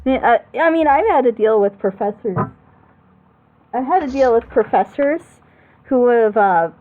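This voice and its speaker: A woman's voice: a little high, lively intonation, unhurried, narrow, tinny audio. A woman commentating in a casual, opinionated chat, emphatically repeating her points. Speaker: reaction show commentator.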